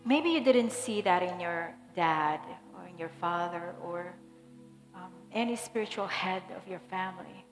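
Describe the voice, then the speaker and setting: A woman's voice: lowish voice, measured pace. A woman pastor in her thirties, from the Philippines, leading a fervent prayer from a church stage. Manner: fervent, declaring prayer then softer guiding. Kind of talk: sermon prayer. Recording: echoey hall with soft music.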